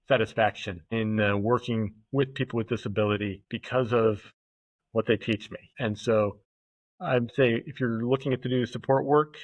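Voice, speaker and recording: A man, a podcast guest, talking in a thoughtful, halting way with many fillers and restarts. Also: mid-range voice, no room sound. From United States. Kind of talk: casual conversational interview. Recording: dull, muffled podcast audio.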